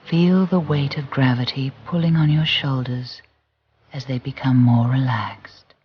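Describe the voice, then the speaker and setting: Slow guided relaxation voice, mid-pitched. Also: fairly even intonation, slightly rough. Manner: soothing, gentle, deliberate with long pauses. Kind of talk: guided visualisation narration. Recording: muffled recording with music behind. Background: background music.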